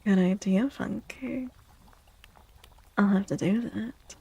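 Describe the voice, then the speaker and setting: Soft-spoken breathy woman with creaky fry. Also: medium pitch. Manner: gentle, slow, repeats phrases, often slipping into whispers. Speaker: streamer and tarot reader. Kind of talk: soft-spoken ASMR livestream with casual chat.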